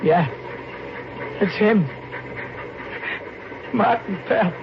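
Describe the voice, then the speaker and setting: High-pitched, hysterical trapped killer. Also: male voice, heavily acted. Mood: dawning horror. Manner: halting, stammering, repeating himself. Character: panicked killer trapped in a boxcar, unraveling into hysteria. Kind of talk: 1950s radio thriller acting. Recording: noisy, muffled early 1950s radio recording.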